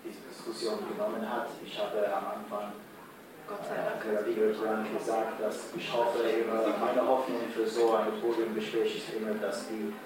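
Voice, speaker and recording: Soft-spoken non-native man in echoey hall. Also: on the low side, monotone, crowd noise. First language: not German. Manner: halting, searching for words. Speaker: panel speaker. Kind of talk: panel discussion remarks. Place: large hall at a community event. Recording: roomy hall sound from far off, noise.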